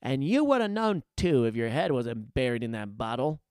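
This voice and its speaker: A man voicing a gruff, bullying guard in an audiobook, with a menacing growl and snarl. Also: mid-range voice, very animated, normal speed, a little mellow, dry recording, clean studio sound. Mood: irritated. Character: gruff, bullying thug guards and their captain.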